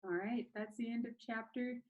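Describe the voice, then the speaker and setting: A woman reading a storybook aloud as a teacher, with expressive narration and an informal manner. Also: a little high, moderate pace, highs cut off. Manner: informal, chatty asides.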